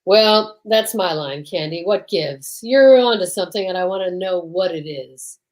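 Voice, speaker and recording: A woman's voice: on the low side, moderate pace, close to an everyday voice. A woman playing a suspicious, needling male police detective in an old-time radio drama, dry and terse. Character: gruff, suspicious male police detective, fond but bossy.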